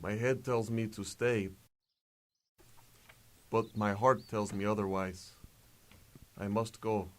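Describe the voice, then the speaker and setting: A man's voice: on the low side, flat delivery, slow pace, pauses often. A man playing an earnest, dutiful young farm man with mutant powers in an audio drama. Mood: resolute.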